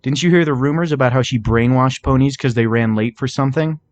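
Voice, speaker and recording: A man's voice: medium pitch, quick pace, voice pushed up, lightly acted. A man voicing a nervous, gullible unicorn stallion thief, a reluctant sidekick in a cartoon fan story, anxious and hesitant. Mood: worried. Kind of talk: cartoon fan fiction character voice.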